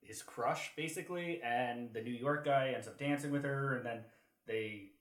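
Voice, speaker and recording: A man's voice: medium pitch, moderate pace, over a noisy crowd. Rambling man chatting history in an echoey room. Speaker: podcast co-host. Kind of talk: casual history chat among friends.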